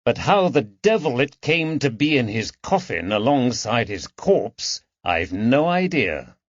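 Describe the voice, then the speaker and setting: A man voicing a grave, methodical detective in a period mystery audiobook, deliberate and commanding. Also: mid-range voice, big pitch swings, dry recording, dull audio. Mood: grimly decisive.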